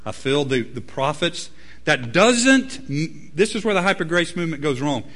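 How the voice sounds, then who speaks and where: Animated preaching man. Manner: animated preaching, swings from loud emphatic peaks to low quiet asides. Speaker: pastor. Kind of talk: sermon.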